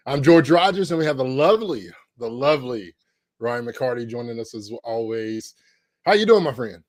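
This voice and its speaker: A man's voice: mid-range voice, expressive, moderate pace, darkish tone, no room sound. A middle-aged man co-hosting a podcast, chatting casually and upbeat, with lots of fillers and restarts, from a home corner on a podcast microphone. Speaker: podcast co-host. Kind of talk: casual chat.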